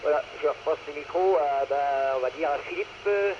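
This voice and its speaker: A man's voice: a little high, moderate pace. Muffled man on noisy two-way radio. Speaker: amateur radio operator. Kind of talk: casual radio contact chat. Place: radio transmission with noise behind. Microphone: two-way radio microphone. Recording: muffled two-way radio, clear static noise.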